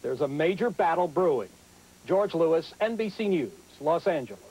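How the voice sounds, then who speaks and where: A man's voice: a little high, expressive, normal speed, darkish tone, husky, rough recording. A man with an American accent narrating a scripted television news report as a correspondent.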